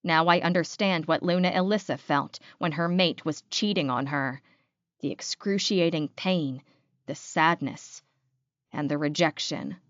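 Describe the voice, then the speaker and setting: A woman's voice: sits low, a little slow, a bit bright, no room sound, dull audio. A woman reading first-person fiction aloud as an audiobook narrator, in a scripted storytelling style.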